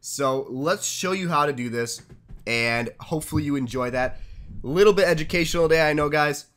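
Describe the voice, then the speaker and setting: A man's voice: on the high side, moderate pace, fairly bright tone. Congested young man commentating a game. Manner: casual, chatty tips with upbeat asides. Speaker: gaming channel host. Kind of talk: game commentary over replays.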